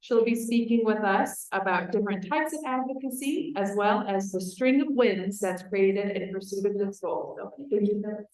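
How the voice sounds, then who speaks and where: A woman emceeing a conference, making brisk, friendly announcements and introducing the next speaker. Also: mid-range voice, darkish tone. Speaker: event emcee. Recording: very echoey hall, voice far from mic.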